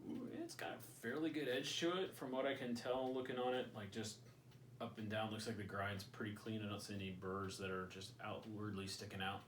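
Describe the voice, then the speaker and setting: A man's voice: on the low side, fairly bright tone, roomy sound, distant mic, a little hiss or hum. A man hosting a casual gear review at home, rambling and joking as he thinks aloud. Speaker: channel host. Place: indoors at home.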